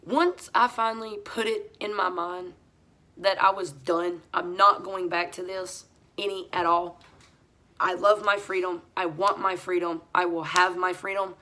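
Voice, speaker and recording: A woman, hoarse from a head cold, telling her recovery story in a blunt, profane, confessional way. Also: lowish voice, fairly even intonation, unhurried. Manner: blunt and profane, talks straight to viewers; talks over her hoarseness, voice pushed a little louder than usual. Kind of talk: casual confessional monologue.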